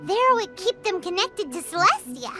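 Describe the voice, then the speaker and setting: Tiny fairy sidekick, very high squeaky childlike voice, swooping intonation, excitable, game dialogue over music. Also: female voice, normal speed. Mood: pleased, chatty. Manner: speaks of self in third person, blurting, indignant. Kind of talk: video game character acting. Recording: music behind the voice.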